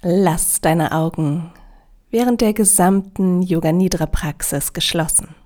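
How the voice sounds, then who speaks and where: A woman leading a guided yoga nidra relaxation in a calm, soothing, measured way with long pauses. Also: fairly low pitch.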